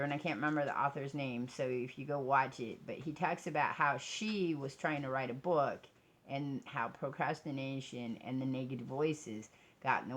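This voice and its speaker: A woman's voice: rumbling low, a bit bright. An American woman chatting casually in a rambling, self-deprecating vlog recorded indoors. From United States. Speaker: vlogger and crafter. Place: indoors at a craft table. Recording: noisy home recording.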